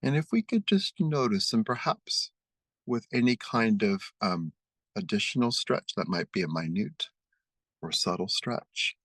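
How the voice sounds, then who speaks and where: A man leading a guided meditation and prayer, speaking slowly and soothingly as he leads spoken affirmations. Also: fairly low pitch, lively intonation, a bit bright, no echo. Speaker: spiritual meditation leader.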